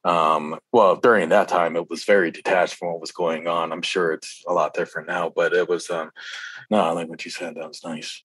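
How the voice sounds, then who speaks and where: A man's voice: sits low, flat delivery, normal speed, a bit bright, breathy edge, no echo. A man chatting casually as an interview guest, reminiscing in a rambling, reflective way.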